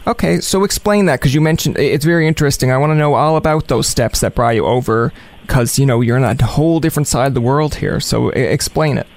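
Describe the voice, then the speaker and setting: Casual man asking halting questions. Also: mid-range voice. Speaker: host. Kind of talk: interviewing a guest.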